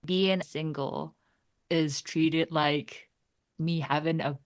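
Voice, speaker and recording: A woman's voice: deep voice, steady tone, slow, no room sound. A woman in her thirties from Japan, a podcast guest who chats and laughs between phrases. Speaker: interview guest. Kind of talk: casual interview chat.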